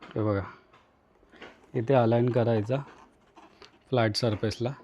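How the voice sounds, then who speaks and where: A man's voice: mid-range voice, steady tone, slow, mellow, dull audio, a little hiss or hum. A man with an Indian accent from Maharashtra gives a casual hands-on tutorial, talking to viewers in short, repeated instructional phrases. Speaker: vlogger, tutorial host.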